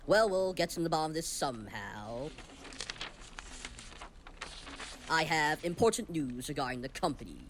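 A man acts out a doddery-minded company boss for a comedy audio play. He rambles and loses his thread, cheerfully oblivious and tactless, with pitch that leaps about in a very lively way. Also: noisy background, close to an everyday voice. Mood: absent-minded, genial. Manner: halting, forgetful, cheerfully oblivious. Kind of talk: comic audio play acting.

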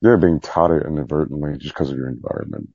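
A man with a low, husky voice chatting candidly in an interview, rambling, self-deprecating and mildly profane. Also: fast talker, dark tone, no room sound, lo-fi sound. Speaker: podcast guest, Navy veteran. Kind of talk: candid casual interview chat.

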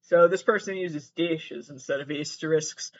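A man giving casual comedic commentary as he reads messages aloud, halting and sweary, with exasperated asides. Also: fairly high pitch, steady tone, highs cut off.

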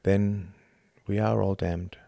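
A man's voice: sits low, flat delivery, slow, vocal fry, warm tone, no room sound, clean studio sound, full character voice. A man voicing a serene, devout, self-assured man in an audiobook, speaking in a placid, pious manner. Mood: serene certainty.

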